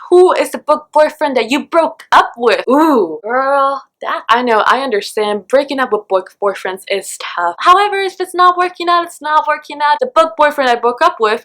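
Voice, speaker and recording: A woman's voice: high voice, fairly bright tone. A young woman hosting a casual, chatty book vlog, talking in a gushing, dramatic and self-mocking fangirl way. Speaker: book vlogger and host.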